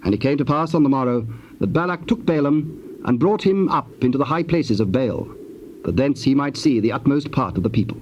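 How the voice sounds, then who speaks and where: A man narrates dramatized scripture in solemn, archaic English. His voice sits at a middle pitch, with clear noise from an old cassette tape behind it. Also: lively intonation, a little fast, lo-fi sound.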